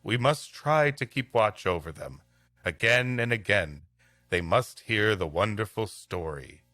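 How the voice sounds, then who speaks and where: Man playing a solemn, low-voiced fatherly saint. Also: expressive, measured pace, sharp tone, no echo, clean studio sound. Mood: solemn patient. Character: pious, patient male saint, fatherly missionary leader. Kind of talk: storybook reading.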